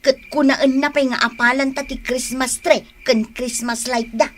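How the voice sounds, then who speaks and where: An actress playing an envious, competitive housewife in a radio comedy drama, indignant and catty. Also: female voice, sits high, fairly even intonation, moderate pace, a bit bright, slight background noise. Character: envious, competitive housewife scorning her show-off neighbour.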